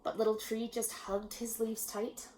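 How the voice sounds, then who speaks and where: A woman narrates a children's story at a very slow, measured pace, in a fairly high voice. She reads expressively and leaves pauses between phrases. There is some ambient noise behind her. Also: little pitch movement, darkish tone, rough recording. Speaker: storybook reader. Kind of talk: children's read-aloud narration.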